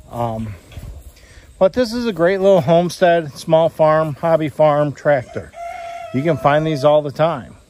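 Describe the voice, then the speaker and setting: A man's voice: a little high, darkish tone, slight background noise. A man in his forties giving a rambling, folksy walkaround of a tractor, talking into a phone held at arm's length outside on a farm. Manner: rambling, folksy, with fillers like "uh" and "you know". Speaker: homesteading vlogger. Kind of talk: casual walkaround vlog. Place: outdoors on a rural farm lawn. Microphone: phone camera at arm's length.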